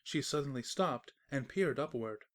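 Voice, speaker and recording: A woman narrator reading a scripted comedic story in an expressive, wry storyteller manner. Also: rumbling low, normal speed, faint music behind.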